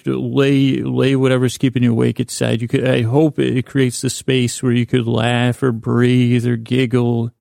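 A man's voice: mid-range voice, vocal fry, mellow, no room sound, clean studio sound. A man hosting a sleep podcast in lulling, soothing tones, rambling to the listener with stammered false starts and trailing thoughts. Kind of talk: rambling bedtime monologue, talking to the listener.

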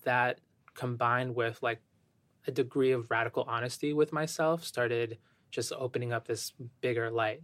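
A young man from Ecuador speaking as a podcast guest, soft-spoken and thoughtful, with many fillers as he reflects. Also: medium pitch, creaky, dry recording. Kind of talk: reflective interview talk.

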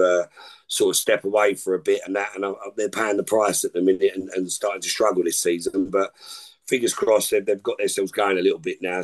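Middle-aged man, deep voice, casual rambling podcast guest. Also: rough recording. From England. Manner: rambling, informal, repeats "like I say" and "listen". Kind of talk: casual chat.

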